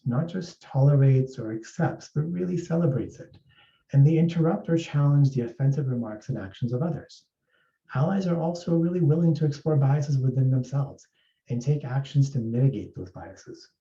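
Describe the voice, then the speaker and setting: A man from East Africa giving a measured, explanatory workplace presentation as an HR speaker. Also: medium pitch, dark tone. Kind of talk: presentation talk. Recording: roomy, distant, dull sound.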